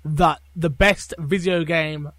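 A man with a raised pitch in a dry recording. He banters on a podcast in an emphatic, mock-exasperated way. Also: fairly even intonation, takes it slowly, a bit bright, no echo. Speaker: podcast co-host.

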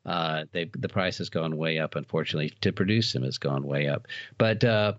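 A man's voice: a bass voice, fairly quick, dry recording. A middle-aged man being interviewed, talking casually in a candid, opinionated way on a slightly dull, muffled recording. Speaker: interview guest, trading card company founder.

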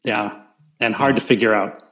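A man with a naturally loud voice answering questions in a casual online interview. He talks in halting bursts, restarting phrases and filling pauses as he goes. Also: on the low side, fairly even intonation. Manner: halting, full of restarts and fillers. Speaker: interview guest. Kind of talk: casual video-call interview.

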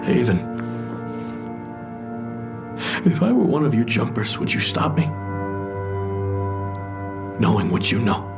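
An actor playing a guilt-ridden, lovesick, weary man in an audio drama, speaking in a halting, subdued, confessional way. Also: medium pitch, sing-song, gravelly, music in the background. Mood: despairing, resigned. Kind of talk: naturalistic audio drama acting.

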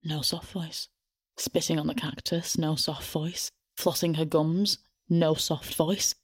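A woman narrating scripted audio fiction as a storyteller, measured and deadpan. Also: sits low, breathy edge, dry recording, studio quality.

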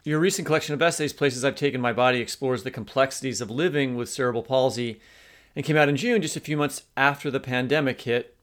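Male podcast co-host, average pitch, conversational hosting delivery. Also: quick pace, fairly bright tone.

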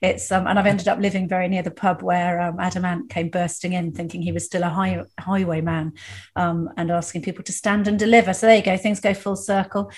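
A woman's voice: on the low side, level tone, a little fast. A woman in her fifties, a podcast host and stand-up comedian who interviews her guest in a chatty, joking way and tells short stories along the way. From England. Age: 50s. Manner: chatty and jokey, with storytelling asides. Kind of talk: conversational interview.

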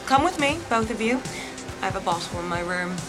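A woman's voice: medium pitch, animated, fairly quick, over music, close to an everyday voice. Woman playing flamboyant, flirtatious bohemian bon vivant. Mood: theatrical, playful. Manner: effusive, dropping French phrases. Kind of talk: audio drama acting.

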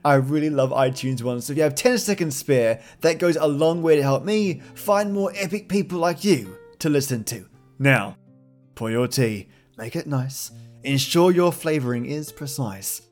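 A man's voice: fairly high pitch, expressive, normal speed, a little mellow. A man hosting a podcast, speaking in a colloquial, effusive, matey way. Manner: effusive, with slangy matey address. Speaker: podcast host. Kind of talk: friendly, colloquial podcast outro. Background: plucked guitar music.

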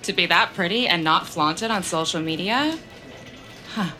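An actress playing a blunt, curious, gossipy coworker in a scripted audio drama, teasing and inquisitive. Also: female voice, fairly low pitch, animated, normal speed, bright, forceful tone, faint music behind, rough recording.

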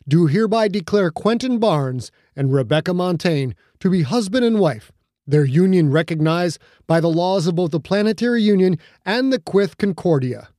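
A man's voice: high-pitched, dark tone, dry recording, studio quality, voice pushed up. A man voicing a pompous minister of the old ways who speaks solemnly and grandly.

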